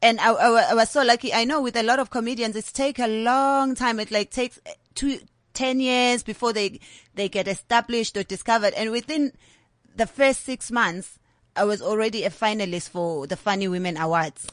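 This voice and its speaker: A woman's voice: a little high, normal speed, dry recording. A South African woman in her thirties, a comedian talking candidly in an interview and slipping into Zulu phrases. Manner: candid, animated storytelling mixing English with Zulu. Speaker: comedian guest. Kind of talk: interview conversation.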